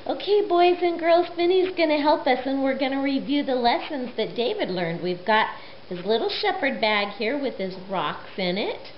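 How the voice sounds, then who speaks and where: Woman storyteller for kids, expressive, fairly high voice, lo-fi recording. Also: normal speed. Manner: animated, expressive, addressing children. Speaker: children's storyteller. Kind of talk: children's Bible storytelling. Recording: muffled lo-fi recording, faint noise.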